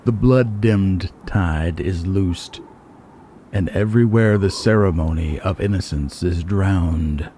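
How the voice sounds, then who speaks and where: A solemn reciter played by a man delivers apocalyptic verse in a low, grave voice. The phrases are drawn out and theatrical, heavy with foreboding. There is some background noise. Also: slow, mellow. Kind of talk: dramatic poetry reading.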